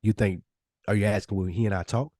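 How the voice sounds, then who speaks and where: A man's voice: on the low side, fairly even intonation, fast, a little mellow, no room sound, studio quality. An American man co-hosting a podcast, talking candidly and reflectively about himself in casual conversation. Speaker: podcast co-host.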